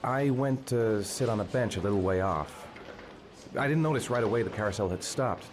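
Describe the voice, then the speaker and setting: An actor voicing a distraught father being questioned by the police about his missing son, speaking haltingly. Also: on the low side, expressive, lightly acted. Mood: shaken. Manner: halting, shaken. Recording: game audio with ambient noise.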